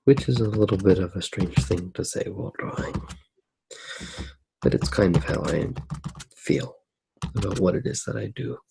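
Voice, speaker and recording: A man narrating his drawing for ASMR in a soft-spoken, unhurried way, musing aloud as he works. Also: sits low, vocal fry, background noise. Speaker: ASMR artist.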